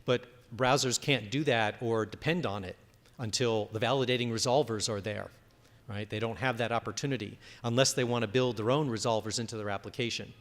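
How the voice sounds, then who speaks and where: A man's voice: mid-range voice, brisk, fairly bright tone. Man presenting in a reverberant hall. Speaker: conference speaker. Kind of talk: conference presentation with audience questions. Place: large conference room.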